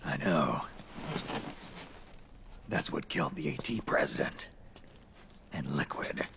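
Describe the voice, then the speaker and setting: Very deep, slow, gravelly aged soldier. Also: male voice, lively intonation, noisy background, full character voice. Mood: terse, resigned. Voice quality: gravelly, hushed rasp. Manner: terse, stoic. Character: weary, terse, rapidly aging male soldier. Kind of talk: dramatic video game cutscene acting.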